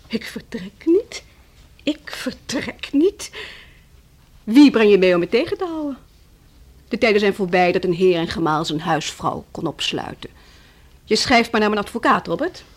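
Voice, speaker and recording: Scornful wife in a dutch radio play, husky, voice swings all over. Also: female voice, medium pitch, expressive, moderate pace, long pauses. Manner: theatrical, scornful. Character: defiant, mocking wife sparring with her husband. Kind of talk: Dutch radio drama acting.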